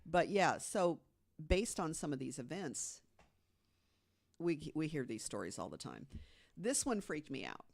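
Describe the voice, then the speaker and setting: A woman on a podcast, casually telling an urban legend, with lots of "you know" and hushed tones for the scary moments. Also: low-pitched, big pitch swings, measured pace, vocal fry, long pauses. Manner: chatty storyteller, fills with "you know", drops to hushed tones for the scary parts. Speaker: podcast co-host. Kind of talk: casual storytelling chat.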